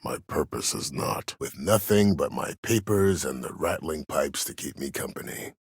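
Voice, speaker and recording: An actor playing a cold, proud, older former war admiral in a video game, speaking formally and with measured authority in a gravelly, husky voice. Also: male voice, extremely low pitch, expressive, no room sound, studio quality, full character voice. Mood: measured, calculating. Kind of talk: dramatic video game dialogue.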